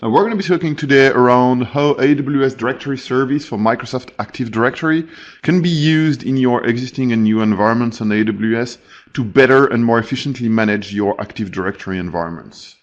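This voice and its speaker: A man with a French accent walks listeners through a technical webinar. He talks at a middling pitch, stopping for fillers and restarting phrases as he goes. The audio is dull and lo-fi, as webinar sound often is. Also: fairly quick. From France. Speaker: webinar presenter.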